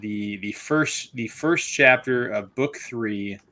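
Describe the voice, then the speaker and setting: A man hosting a casual online book club chat, chatty and quick to laugh, often restarting his sentences. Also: mid-range voice, bright, forceful tone.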